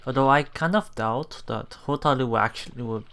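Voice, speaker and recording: A man's voice: mid-range voice, a little fast, fairly bright tone, clear, resonant tone. A man hosting a let's play, reading visual novel text aloud with casual, musing commentary. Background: game music.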